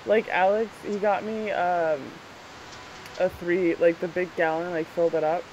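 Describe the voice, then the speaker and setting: Animated, swearing woman, Spanish native. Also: on the low side, a little slow, lo-fi sound, slight background noise. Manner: animated, swears freely, laughs often. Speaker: vlog co-host. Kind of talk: casual chat.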